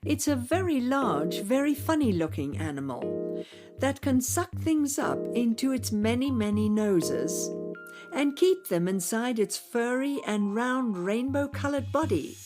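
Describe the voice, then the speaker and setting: A theatrical narrator of children's space tales, performed by a woman. She speaks slowly in a high, swooping voice, full of wonder, with music underneath. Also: very animated. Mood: explanatory. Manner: theatrical, wondering, addresses listeners directly. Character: dramatic storyteller of children's space adventures. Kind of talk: scripted children's radio narration.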